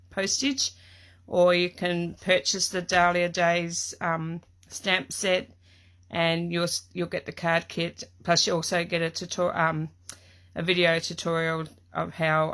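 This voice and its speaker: A middle-aged woman from Tasmania with an Australian accent, hosting a casual live craft stream from her home craft room, chatty and greeting viewers by name, her voice hoarse and croaky from a cough. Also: lowish voice, fairly bright tone.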